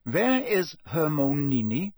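A man's voice: a little high, big pitch swings, very slow, a little mellow, dry recording, clean studio sound, heavily acted. A man voicing a surly, terse young foreign man in an audiobook.

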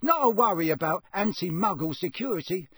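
A man's voice: sits high, lively intonation, brisk, a bit bright, slightly rough, no echo, studio quality, voice pushed up, exaggerated voice. A man voicing an exhausted male ministry wizard who mutters weary complaints.

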